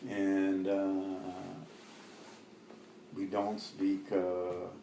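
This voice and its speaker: A Lebanese-born man in his fifties, Arabic his first language, answering an oral history interview in a halting way with many fillers and restarts. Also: low-pitched, monotone, dark tone, reverberant, a little hiss or hum, rough recording.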